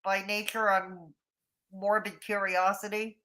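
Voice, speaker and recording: Canadian woman host, mid-pitched bright voice, easygoing, laughs a lot. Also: flat delivery, a little slow. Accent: Canadian English. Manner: laughs often, reads viewer comments aloud, self-mocking. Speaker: stream host. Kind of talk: casual livestream chat.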